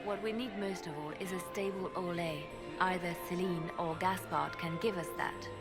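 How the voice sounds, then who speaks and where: A woman voicing a shrewd, poised female spymaster in a video game, speaking coolly with a knowing, quietly sardonic edge. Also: on the low side, steady tone, normal speed, natural voice. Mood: calm. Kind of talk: video game cutscene dialogue. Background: orchestral music.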